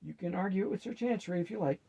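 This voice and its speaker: A man's voice: high-pitched, rapid-fire, gravelly, mellow, breathy edge, voice pushed up, exaggerated voice, poor audio. A man voicing a sensible, businesslike undead male team leader in a matter-of-fact way for a storybook.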